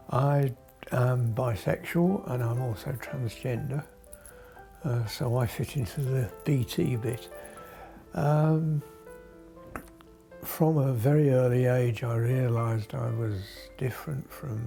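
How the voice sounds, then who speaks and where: Older male voice, sixties, slow and creaky, halting interview testimony with long pauses. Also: medium pitch, dark tone. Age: 60s. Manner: halting, measured, many pauses.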